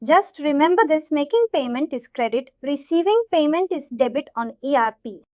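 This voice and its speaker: A woman with an Indian accent giving an informal live software tutorial, explaining things repetitively and checking that listeners follow. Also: sits high, lively intonation, normal speed, dry recording, muffled recording. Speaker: software instructor.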